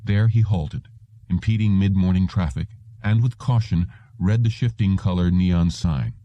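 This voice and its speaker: A man's voice: low voice, unhurried, warm tone, no room sound, dull audio. A man reading literary fiction aloud as a scripted audiobook narrator.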